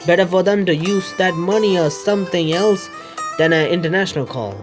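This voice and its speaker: Male let's-player, slightly high pitch, stumbles through dramatic on-screen text over background music. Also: a little fast. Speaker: video game streamer. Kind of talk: reading visual novel text aloud with casual commentary. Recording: music under the voice.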